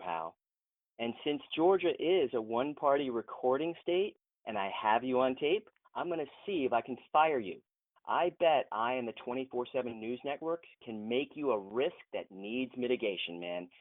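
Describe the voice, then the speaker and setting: Actor as frustrated producer, tinny phone line. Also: male voice, medium pitch, dry recording, natural voice. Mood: defiant. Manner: earnest, insistent, confrontational. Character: frustrated, principled male film producer challenging his boss. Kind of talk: modern audio drama acting. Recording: thin phone-line sound, very dry.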